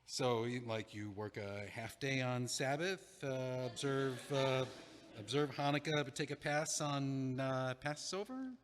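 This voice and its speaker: Casting: male actor as anxious, meek young man, halting and apologetic, average-pitched voice, heavily reverberant hall recording. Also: slight background noise, close to an everyday voice. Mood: flustered. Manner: hesitant, stammering, apologetic. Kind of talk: stage-style audio play dialogue. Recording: echoey hall with long reverb.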